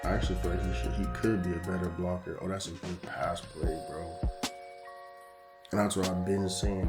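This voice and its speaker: Young male gaming commentator, losing his voice, very low pitch, casual slang; roomy echo with background music. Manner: casual, slangy, frustrated and animated. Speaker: gaming YouTuber. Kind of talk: gameplay commentary.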